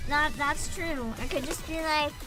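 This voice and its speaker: A woman livestreamer chatting casually with viewers in a giggly, rambling, animated way, swearing casually. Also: high voice, measured pace. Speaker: VTuber livestreamer. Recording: music behind the voice. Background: music.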